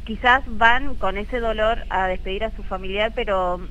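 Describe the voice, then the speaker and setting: Bright-voiced Argentine woman in her thirties. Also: mid-range voice, lo-fi sound, a little hiss or hum. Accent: Argentine Spanish. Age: 30s. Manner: earnest, lots of quick "sí, sí" agreement. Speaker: guest, embalmer. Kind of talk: radio interview.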